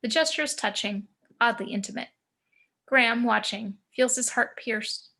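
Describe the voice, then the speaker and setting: Measured woman narrating a screenplay. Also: a little high, creaky, sharp tone. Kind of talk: reading screenplay action descriptions.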